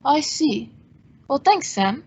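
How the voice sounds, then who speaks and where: A woman playing a cool, wry gentleman sleuth in old-time radio style, with an understated, dry wit. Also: high-pitched, very animated, slow pace, exaggerated voice. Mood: curious. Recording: dull lo-fi audio.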